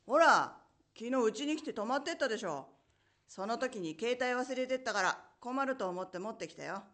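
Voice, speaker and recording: A woman's voice: a little high, lively intonation, moderate pace, a bit bright. An actress playing a blunt, teasing young woman in an amateur radio drama. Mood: chatty, teasing.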